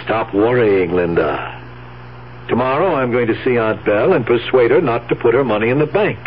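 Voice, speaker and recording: An actor playing a scheming, deceitful nephew in a 1970s radio drama, smoothly reassuring with some hesitant stammering. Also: male voice, medium pitch, expressive, normal speed, slight background noise, lightly acted. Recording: muffled, humming old radio recording.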